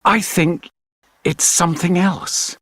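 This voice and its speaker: A man voicing a curious, energetic nine-year-old boy in a storybook, eager and earnest. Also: sits high, slow pace, no echo, clean studio sound, pitched above the performer's own voice, full character voice. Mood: excited. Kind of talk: storybook character reading.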